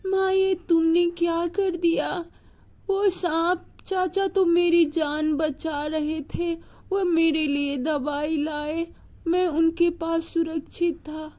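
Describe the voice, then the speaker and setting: Lady doing a little hurt bird boy for a kids story, really high, sad n earnest, slow. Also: flat delivery, dark tone. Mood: upset, pleading. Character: injured young male bird child, sad and self-sacrificing.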